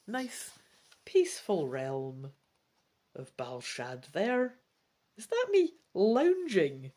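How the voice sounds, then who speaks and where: Gamebook narrator, takes it slow, dramatic storybook delivery, pitch goes up and down loads. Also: huge pitch swings, dark tone. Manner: theatrical, deliberate phrasing. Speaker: gamebook reader and video host.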